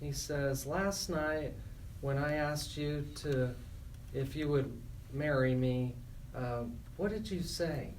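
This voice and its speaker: A man hosting a radio talk show, speaking deliberately with pauses. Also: fairly high pitch, darkish tone, recorded from a distance, slight background noise, rough recording. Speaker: radio host and interviewer. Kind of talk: joke telling and interviewing on a radio talk show.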